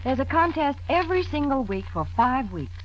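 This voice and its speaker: A woman's voice: high voice, sing-song, a little fast. An American woman reading advertising copy in 1940s radio announcing style. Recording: dull, faintly noisy old radio recording.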